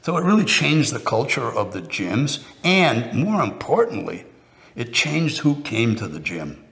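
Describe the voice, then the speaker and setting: An older man in a documentary interview, telling gym history in an animated way. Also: medium pitch, unhurried, vocal fry. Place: indoor studio, plain backdrop. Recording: music under the speech.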